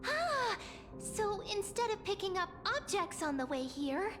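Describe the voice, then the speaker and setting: A small, excitable video game companion. The voice is extremely high, squeaky and childlike, full of eager, naive questioning. Music is clearly heard behind it. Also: female voice, measured pace, exaggerated voice. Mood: curious. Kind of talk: video game story dialogue. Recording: background music under the voice.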